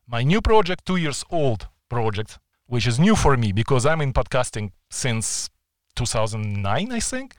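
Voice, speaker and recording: A man's voice: mid-range voice, normal speed, no echo. A man hosting a podcast interview, speaking in careful phrasing. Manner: lively, careful non-native phrasing. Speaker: podcast host.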